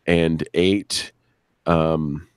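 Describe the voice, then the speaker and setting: A man's voice: very deep voice, fairly even intonation, extremely slow, smooth, no room sound, studio quality. A man chatting casually on a live stream as a show's creator, searching for words and swearing freely. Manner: hesitant, searching for words, frank and profane. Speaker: show creator and podcast guest.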